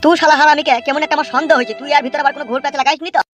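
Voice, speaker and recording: A man's voice: very high voice, steady tone, racing, fairly bright tone. A man voicing a jilted young village rogue in a cartoon, whiny and coarse. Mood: suspicious. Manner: complaining, coarse. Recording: faint music behind the voice.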